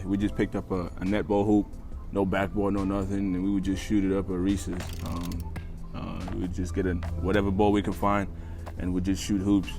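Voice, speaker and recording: A man's voice: low-pitched, darkish tone. A man in his twenties who learned English as a second language, telling his story casually as an interview guest, often repeating phrases for emphasis. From East Africa and Australia. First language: not English, learned it after moving to Australia. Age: 20s. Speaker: interview guest, college basketball player. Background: background music.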